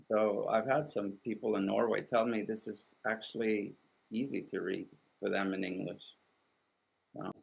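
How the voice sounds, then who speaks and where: Gravelly Swedish man, thoughtful and halting. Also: fairly low pitch, steady tone, muffled recording. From Sweden. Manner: thoughtful, halting, with many restarts and fillers. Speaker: artist and author, guest. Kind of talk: reflective interview conversation.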